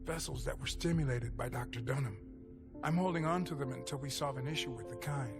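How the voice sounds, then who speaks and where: Performer as brusque vampire underling, medium pitch, matter-of-fact, game drama, clear music behind. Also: fairly quick, lightly acted. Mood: businesslike, uneasy. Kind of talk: video game drama acting.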